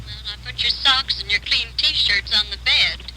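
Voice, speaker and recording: A woman's voice: medium pitch, unhurried, bright, forceful tone. An actress playing a fussing, worried older mother with a homely, doting manner in a 1950s radio drama. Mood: worried, motherly. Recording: noisy old 1950s radio recording.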